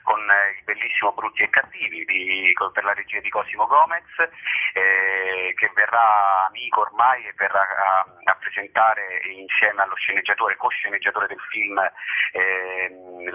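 A man's voice: lowish voice, normal speed. A man being interviewed as a festival director, talking spontaneously and effusively, rambling and repeating words for emphasis. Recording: muffled, narrow phone line.

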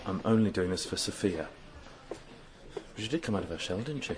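Earnest, low-voiced young fiancé. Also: male voice, lively intonation, a little fast, noisy background. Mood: polite, uneasy. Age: young adult. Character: anxious, polite, conscientious young fiancé. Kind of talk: radio drama acting.

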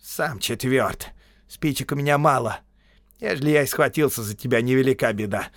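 A man's voice: mid-range voice, moderate pace, dry recording, clean studio sound, lightly acted. A man voicing a sly, brash male peasant tramp in an audiobook story, boastful and teasing in rustic, colloquial speech.

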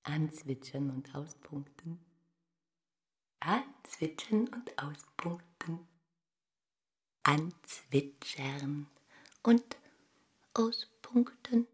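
A woman's voice: sits low, animated, extremely slow, pauses often, exaggerated voice. An actress in an experimental radio play voicing an intense, volatile woman who declaims absurd commands with exaggerated delivery. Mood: hushed.

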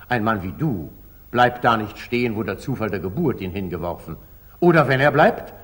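An actor playing a commanding, probing medieval sultan in a declamatory 1940s German radio drama style. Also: male voice, mid-range voice. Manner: commanding, declamatory, deliberate phrasing.

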